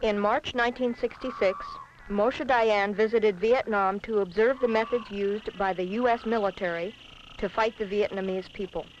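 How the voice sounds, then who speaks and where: Woman, documentary narrator, scripted political narration, medium pitch, faint noise of an old film soundtrack. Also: fairly even intonation, moderate pace, lo-fi sound.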